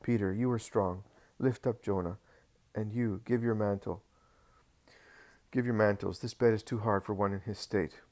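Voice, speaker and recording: A man's voice: lowish voice, fairly even intonation, darkish tone, close to an everyday voice. A man voicing a stern, grave teacher. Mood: tender. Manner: severe, measured.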